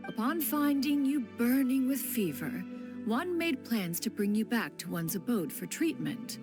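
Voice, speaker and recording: Proud female adeptus, archaic lofty diction, animated pitch, wise storyteller, game dialogue, background music. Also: unhurried. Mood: haughty, reminiscing. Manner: archaic, lofty diction, refers to herself as one.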